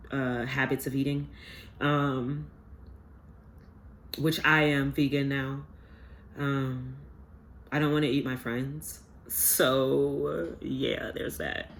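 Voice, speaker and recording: A man's voice: mid-range voice, a little hiss or hum. A man giving a casual collective tarot reading, rambling and earnest with many fillers, his voice stuffy from a sinus infection. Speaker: tarot reader.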